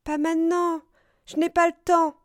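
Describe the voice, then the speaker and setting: A woman voicing a busy, hurried squirrel in a storybook tale, exclaiming as it talks. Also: very high-pitched, flat delivery, dry recording, studio quality, voice pushed up. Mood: hurried, dismissive. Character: busy, hurried squirrel, too occupied to play.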